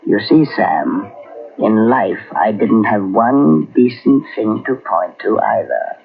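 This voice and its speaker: An actor playing the calm, solemn ghost of a man who died a year ago, speaking in a slow, measured way, in 1940s radio drama style. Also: lowish voice, gravelly, slightly rough, soft background music, full character voice. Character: ghostly dead man walking between worlds, calm, solemn. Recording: tinny old radio transcription with hiss.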